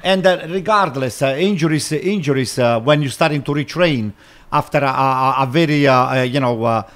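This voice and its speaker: A man, a co-host arguing in a casual chat, insistent and repeating himself with many halting fillers. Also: mid-range voice, fairly bright tone. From Italy. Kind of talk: heated casual debate.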